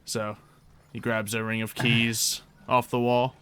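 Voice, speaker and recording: Bright-voiced male game master. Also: mid-range voice, flat delivery, a little hiss or hum. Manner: halting, conversational. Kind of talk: improvised tabletop game narration.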